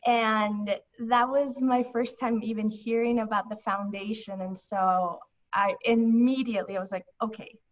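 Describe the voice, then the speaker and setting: A woman's voice: on the high side, fairly even intonation, a little slow. Bubbly young woman on a muffled phone line. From Ecuador. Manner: bubbly, laughs often, many fillers. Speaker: guest, foundation staff member. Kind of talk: casual interview over a phone call. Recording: distant, muffled phone audio.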